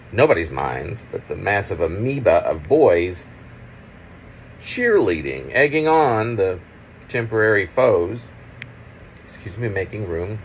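Middle aged guy reading his poem at home on a phone, low creaky voice, stop-and-start phrasing, bit of room noise. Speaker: poet reading own work. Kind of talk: poetry reading with casual asides. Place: small room at home, indoors. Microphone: phone at arm's length.

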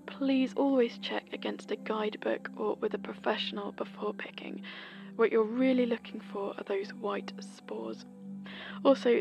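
A woman from Britain, host of a folklore podcast, reading a scripted seasonal almanac in a gentle, whispery way. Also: a little high, creaky.

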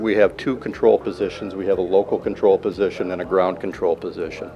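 A man in his fifties, an air traffic control manager, answering interview questions in a control tower in a plain, measured way with many uh's. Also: low voice, lo-fi sound. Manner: plain, measured, fills pauses with uh and um. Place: control tower cab, indoors, large windows. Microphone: handheld mic held by interviewer.

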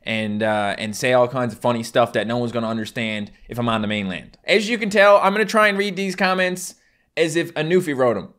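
A man's voice: mid-range voice, lively intonation, brisk, fairly bright tone. Twenties male vlogger, strong Newfoundland accent, joking self-mocking delivery, home desk setup. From Newfoundland, Canada. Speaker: YouTuber. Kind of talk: casual vlog to camera. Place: small home room indoors.

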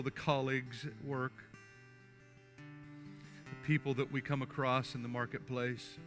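Earnest older pastor, bright voice. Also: male voice, medium pitch. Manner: earnest, halting with repeated restarts. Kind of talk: sermon and altar prayer. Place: church sanctuary stage. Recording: faint guitar music underneath. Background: soft guitar music.

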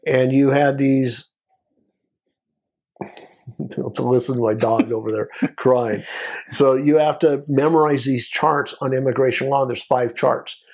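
A man's voice: medium pitch, steady tone, unhurried, creaky, long pauses, darkish tone, breathy edge. An American man in his sixties, telling stories casually as an interview guest in short, conversational phrases. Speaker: guest, former Border Patrol agent.

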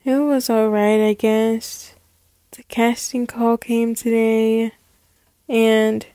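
A woman playing an eager, anxious teenage girl who dreams of acting, dramatic and excitable, in an acted story. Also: high-pitched, measured pace, clean tone, no echo, clean studio sound. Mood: downcast. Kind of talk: acted dialogue in a one-person story.

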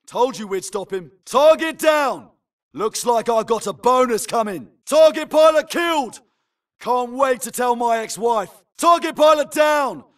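An actor playing an urgent, aggressive infantry soldier in a video game, barking clipped military combat callouts. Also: male voice, extremely high pitch, lively intonation, unhurried, a bit bright, far from the mic, heavily acted. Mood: triumphant. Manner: clipped, barked military radio phrasing.

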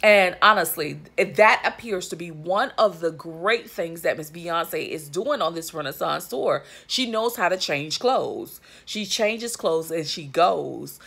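A woman in her thirties talking straight to her phone camera from a living-room couch, chatty and emphatic with lots of asides to her viewers. Her voice sits low with a gravelly, fry-edged texture. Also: fairly bright tone. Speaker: vlogger. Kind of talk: casual commentary to camera. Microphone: phone or webcam at arm's length.

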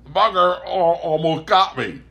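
Old rustic farmer, put on by a male narrator, raised pitch, defensive and muttering, comic novel. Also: lively intonation, measured pace, gravelly, sharp tone, dull audio, heavily acted. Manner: rustic, grumbling self-excuse.

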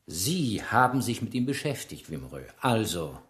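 A man's voice: lowish voice, sing-song, moderate pace, a bit bright. An actor playing a shrewd, relentless French police inspector in a German radio crime drama, ironic and insistent as he questions. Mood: insistent, methodical. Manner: probing, ironic, insistent interrogator.

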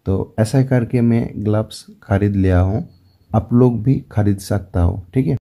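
A man's voice: on the low side, unhurried, mellow. A man with a Bengali accent giving a recorded voice-over that walks step by step through a shopping app. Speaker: motovlogger.

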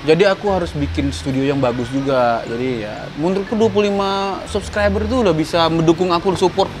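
A man's voice: a little high, brisk, over music. A young man filming a comedic prank vlog on an outdoor café terrace, joking playfully and rambling. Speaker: vlogger.

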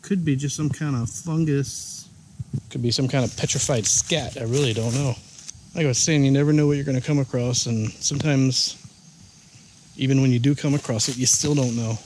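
A man's voice: medium pitch, steady tone, normal speed, darkish tone. A man vlogging casually while walking through the woods, thinking aloud and unsure about what he finds. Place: outdoors in the woods. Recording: noisy outdoor recording.

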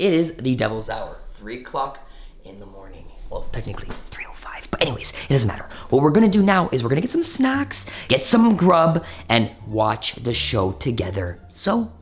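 A man, talking playfully and excitedly to camera as a vlog host. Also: fairly high pitch. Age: 20s. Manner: animated, playful, hyped. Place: living room at night. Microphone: handheld vlog camera at arm's length. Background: faint music.

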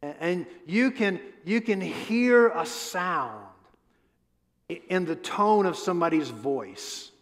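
A man in his fifties preaching a conversational sermon on a church stage through a headset mic, asking the crowd questions and often restarting his words. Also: fairly high pitch. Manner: animated, gestures a lot while pacing the stage. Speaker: pastor. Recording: long hall reverb.

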